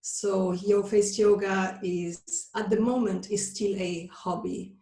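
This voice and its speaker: A woman's voice: medium pitch, fairly even intonation, slow pace, warm tone, distant mic. A woman in her forties from Switzerland with a Swiss Italian accent, a guest on a podcast who speaks friendly and sometimes searches for words. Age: 40s. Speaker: guest, face yoga instructor. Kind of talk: casual podcast interview. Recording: roomy sound, voice away from the mic, faint music.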